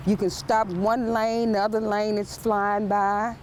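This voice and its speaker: A woman's voice: mid-range voice, level tone, a little slow, darkish tone. A woman working as a school crossing guard, speaking outdoors in short, plain phrases, in interview answers and calls to passers-by. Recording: noisy outdoor street with passing vehicles.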